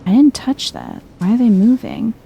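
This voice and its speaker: A soft-spoken woman giving chill, casual game commentary in an ASMR vibe, murmuring as if to herself. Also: on the high side, normal speed, creaky, breathy edge, poor audio. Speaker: gameplay streamer.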